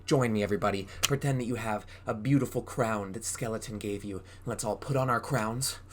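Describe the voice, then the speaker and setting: A man reading a storybook aloud as a preschool drama teacher, in an exaggerated, animated way, prompting young listeners with questions. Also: fairly low pitch, a little fast, a little hiss or hum. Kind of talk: interactive children's read-aloud.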